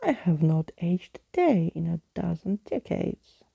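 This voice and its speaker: A woman plays a fretful living skeleton from a storybook, an old-fashioned gentleman who is wordy and easily affronted. The voice is hushed and dark, very creaky, and picks through its grand phrases slowly. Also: fairly low pitch, big pitch swings, no echo, highs cut off, heavily acted. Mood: indignant. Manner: wordy, pompous, indignant.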